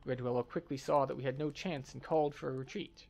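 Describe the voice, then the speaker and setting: A man voicing an earnest scholar from a fantasy game expedition, speaking in a formal, measured way. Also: mid-range voice, fairly even intonation, creaky, a little mellow, lightly acted. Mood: grave, pleading.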